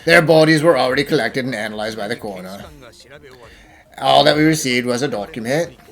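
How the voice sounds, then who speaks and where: A man's voice: medium pitch, brisk, vocal fry, lightly acted. A man voicing a dry, slightly exasperated police inspector in an anime fan dub, matter-of-fact and wry. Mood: serious.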